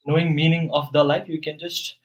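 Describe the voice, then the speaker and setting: A man's voice: fairly high pitch, flat delivery, fairly quick. A man working as an English tutor, patiently giving corrective grammar feedback in a one-on-one session.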